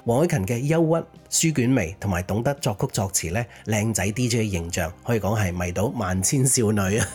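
A man's voice: fairly low pitch, darkish tone, husky. A man presenting songs as a music show host in scripted narration. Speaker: host of a Cantonese music nostalgia programme. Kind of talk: scripted song introduction. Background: background music.

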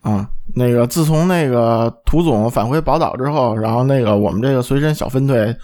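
A man's voice: mid-range voice, moderate pace, dark tone. A man hosting a casual panel chat in a colloquial, jokey way, often checking in with tag questions. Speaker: podcast host.

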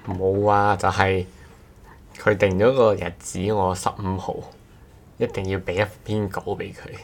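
A man's voice: sits low, flat delivery, measured pace. A man with a Cantonese accent chatting casually as a podcast guest, joking and poking fun at himself. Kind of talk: casual chat.

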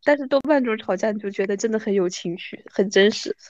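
A woman's voice: medium pitch, fast, a little mellow, dull audio. A woman in her thirties hosting a casual chat with an old friend, with a wry, self-mocking manner. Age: 30s. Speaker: podcast host.

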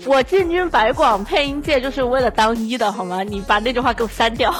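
Slightly high female voice, podcast guest bantering and jokingly bragging, light music behind. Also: a little fast. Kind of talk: joking casual chat.

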